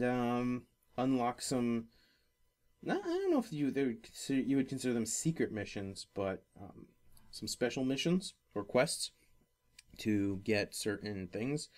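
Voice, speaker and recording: A man hosting a casual gaming podcast, talking in a rambling, halting way that often circles back on itself. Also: medium pitch, darkish tone. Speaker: podcast host.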